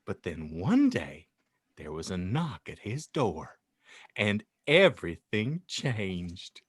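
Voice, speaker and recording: A man's voice: fairly low pitch, wildly swooping pitch, slow pace, breathy edge, no room sound, studio quality. A man hosting a podcast, reading a story like an audiobook narrator in a dramatic, playful, mock-grand way. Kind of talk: theatrical audiobook reading with joking asides.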